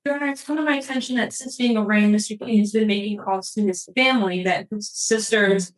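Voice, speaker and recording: A woman's voice: mid-range voice, fairly quick, low-quality recording. A woman addressing a court as a prosecutor. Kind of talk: courtroom argument.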